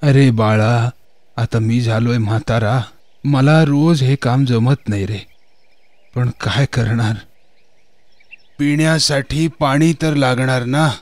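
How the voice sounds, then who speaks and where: A gentle, grateful old grandfather from a fairy-tale cartoon, acted by a man. He speaks very slowly and affectionately, at a middling pitch, drawing out his words like someone weary with age. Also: no room sound, natural voice. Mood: weary, kindly. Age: older. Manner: slow, drawn-out, affectionate.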